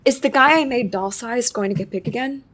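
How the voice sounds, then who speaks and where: A woman's voice: fairly high pitch, brisk, fairly bright tone. A woman playing an uncertain, guilt-prone newcomer to a support group in an audio drama, hesitant and questioning. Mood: unsure, questioning.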